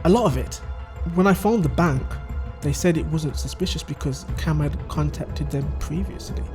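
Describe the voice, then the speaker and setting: A man's voice: on the high side, moderate pace, over music, lightly acted. A man playing a scheming middle-aged father, explaining things conversationally in a dramatic audio story. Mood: explanatory, uneasy. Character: scheming middle-aged father recounting his wife's crimes. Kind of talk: dramatic dialogue.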